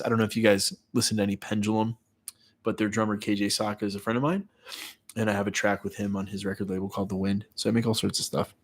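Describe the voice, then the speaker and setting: A man in his thirties with a stuffy, congested voice from a cold, hosting a casual live stream and answering viewer comments. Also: fairly low pitch. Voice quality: nasal, stuffy. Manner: loose and chatty, reads viewer comments aloud and answers them. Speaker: YouTuber hosting a live watch party.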